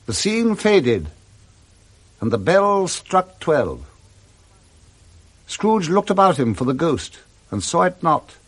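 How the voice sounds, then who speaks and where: A man with a British accent narrating a 1960s radio drama in a measured, expressive storytelling way. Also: a little high, exaggerated voice. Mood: grave. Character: male storyteller narrating a Victorian Christmas tale.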